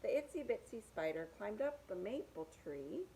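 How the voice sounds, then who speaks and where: A woman's voice: medium pitch, sing-song, normal speed, gravelly, dark tone, low-quality recording. A woman reading picture books aloud to young children, with sing-song rhymes and simple asides about the pictures.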